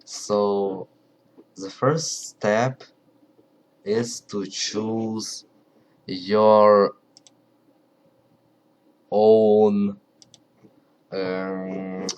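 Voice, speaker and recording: A man with a Ukrainian accent, English not his first language, talking quietly and carefully to camera and often pausing to search for words. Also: on the low side, steady tone, poor audio. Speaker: video creator. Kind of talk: casual talk to camera.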